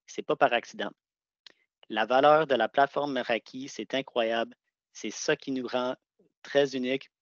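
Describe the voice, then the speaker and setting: A man's voice: mid-range voice, steady tone, moderate pace, no room sound. A man giving a product presentation over a video call, speaking conversationally with hesitant restarts. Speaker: sales engineer presenting products. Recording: dull, dry video-call audio.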